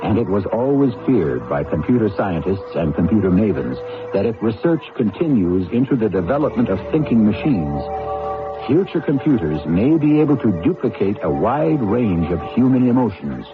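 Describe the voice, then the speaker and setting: A man with an American accent hosting a radio drama with measured, suspenseful scripted narration. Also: lowish voice, big pitch swings. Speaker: host. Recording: narrowband old radio, music behind.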